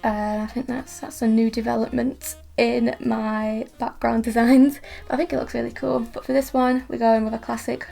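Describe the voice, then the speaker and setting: A young woman with a northern English accent talks casually about her art in a chatty, self-deprecating way. Her slightly high voice has a gravelly, croaky edge, since she has just woken up and has retainers in. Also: mellow. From England. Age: young adult. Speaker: art vlogger.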